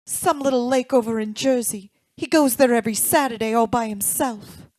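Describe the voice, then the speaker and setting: Actress as anxious young wife, lightly high voice. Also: female voice, moderate pace, darkish tone, no room sound, natural voice, rough recording. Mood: earnest. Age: young adult. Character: anxious, well-off married woman in her late twenties. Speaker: radio drama actor. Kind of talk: old-time radio drama acting.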